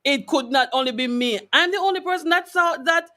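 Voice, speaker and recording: High, bright-voiced Jamaican woman hosting radio. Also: brisk. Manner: animated, colloquial, questioning. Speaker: radio host interviewing a guest. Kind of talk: conversational faith and finance radio talk.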